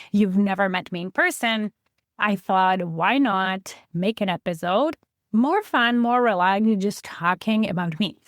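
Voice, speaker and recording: A woman's voice: medium pitch, no echo, studio quality. Chatty woman in her forties, light Polish accent. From Poland. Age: 40s. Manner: chatty, reflective, counts through a list. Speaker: podcast host. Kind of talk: relaxed solo podcast monologue.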